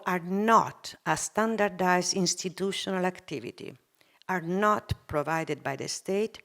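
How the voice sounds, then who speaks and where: A woman speaks from a prepared text at a conference panel, with a low voice. Her delivery is measured and formal. Now and then her phrasing sounds non-native.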